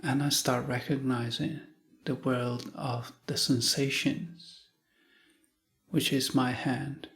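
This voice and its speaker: Man guiding a meditation, very slow pace, calm short phrases, long pauses. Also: mid-range voice, vocal fry. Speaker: meditation teacher. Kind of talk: guided meditation.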